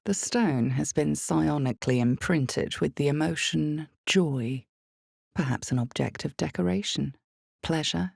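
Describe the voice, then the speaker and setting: A woman playing the knowing, ominous narrator of a dark fantasy game, brooding and deliberate, with weighted pauses and hushed drops. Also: a bass voice, gravelly, no room sound, clean studio sound, heavily acted. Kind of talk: dramatic scripted second-person fantasy narration.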